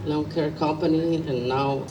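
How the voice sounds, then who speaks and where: A man's voice: on the high side, steady tone, unhurried. A man from Mexico giving short remarks as a new citizen. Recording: distant mic, room noise.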